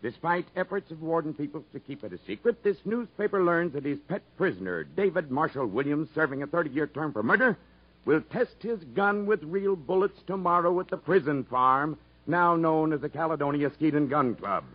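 A man acting a stern, officious, dismissive prison commissioner in a 1950s radio drama, with a husky voice. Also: a little high, expressive, moderate pace. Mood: accusing. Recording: muffled 1950s radio broadcast.

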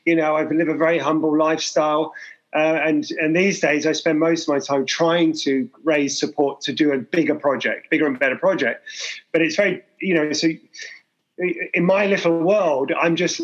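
A man's voice: a little high, fairly even intonation, moderate pace, highs cut off. A man from England in his forties, a guest artist talking candidly in an interview, rambling and self-deprecating with many restarts.

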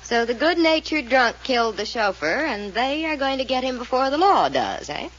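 High, wisecracking young woman. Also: sing-song, moderate pace, fairly bright tone. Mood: wry. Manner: wry, sardonic. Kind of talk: 1940s radio mystery acting. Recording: muffled, noisy old radio recording.

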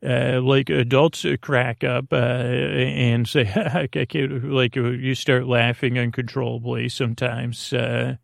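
Creaky-voiced man rambling gently at bedtime. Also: medium pitch, little pitch movement, slow, few pauses, no echo, studio quality. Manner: rambling, hesitant, trailing off and circling back; gently silly, goofy. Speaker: podcast host. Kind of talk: meandering, improvised bedtime monologue.

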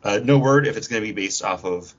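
A man co-hosting a podcast, casually running through news with a fan's enthusiasm and a husky voice. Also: fairly low pitch, brisk. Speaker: podcast co-host. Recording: dull lo-fi recording.

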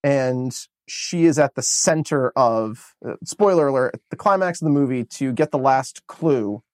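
An American man talking through a movie review on a podcast, eager and rambling, full of ums and self-corrections. His voice sits at a middle pitch and is recorded close and dry, with no room sound around it. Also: animated, normal speed, darkish tone, no echo. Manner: enthusiastic, rambling with many fillers and restarts. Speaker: podcast co-host. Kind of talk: casual movie review chat.

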